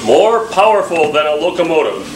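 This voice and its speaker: A man's voice: a little high, sing-song, normal speed. A man playing a dramatic announcer of a 1940s radio adventure serial. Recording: distant echoey room, very noisy.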